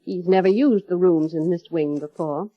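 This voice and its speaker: An actress playing a cold, formal middle-aged housekeeper in 1930s radio drama, measured and quietly menacing. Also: female voice, fairly low pitch, no echo, natural voice. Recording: dull, muffled vintage radio broadcast, music.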